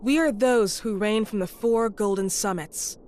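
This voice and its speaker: Anime game villainess, actress: solemn, grand, unhurried, mid pitch, slight background ambience. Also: female voice, a little mellow, a little hiss or hum, lightly acted. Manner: grand, deliberate. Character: solemn, mysterious self-important villainess. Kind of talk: dramatic anime game acting.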